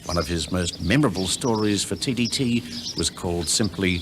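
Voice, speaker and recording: A man's voice: sits low, normal speed, noisy background. A man with an Australian accent reading scripted narration for a documentary tribute.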